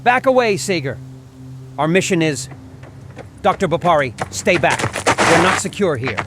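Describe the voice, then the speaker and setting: Man acting a high-pitched, short-tempered army sergeant. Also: very animated, moderate pace, loud music behind the voice. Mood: angry, impatient. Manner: barking, commanding. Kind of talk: dramatized audio comic dub.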